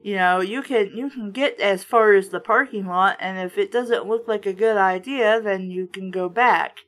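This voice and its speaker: A woman's voice: medium pitch, moderate pace, fairly bright tone. A woman reading a storybook aloud and breaking off for casual, sarcastic, sweary commentary. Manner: blunt, sarcastic, swears freely.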